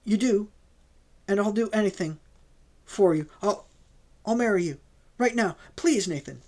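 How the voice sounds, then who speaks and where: A woman's voice: mid-range voice, unhurried, darkish tone, pitched above the performer's own voice, close to an everyday voice. A man voicing a tearful, desperate young woman. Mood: tearful, pleading. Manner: sobbing, stammering pleas.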